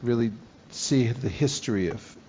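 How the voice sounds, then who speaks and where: An older man chats with young retreat members, firing off quick, curious questions. The recording is dull and lo-fi. A faint hiss sits underneath. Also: medium pitch, a little mellow. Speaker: retreat leader interviewing youth. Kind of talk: casual conversational interview.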